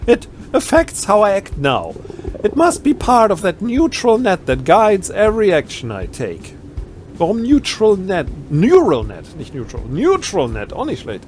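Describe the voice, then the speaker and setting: A man's voice: sits high, huge pitch swings, unhurried, darkish tone, a little hiss or hum. A man with a German accent doing a let's play, reading game text aloud and adding wry, ironic asides. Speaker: let's player.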